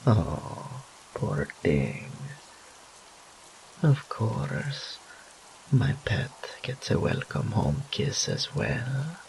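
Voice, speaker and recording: A man's voice: fairly low pitch, sing-song, drawn-out words, gravelly, a little mellow, a little hiss or hum, exaggerated voice, poor audio. A man voicing a teasing, smug and doting incubus demon in roleplay audio, with a theatrical purring drawl and whispered asides.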